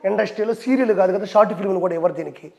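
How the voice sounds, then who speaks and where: Scornful political ranter, quick and confrontational, recorded amid very loud noise. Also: medium pitch, gravelly, muffled recording. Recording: very noisy recording.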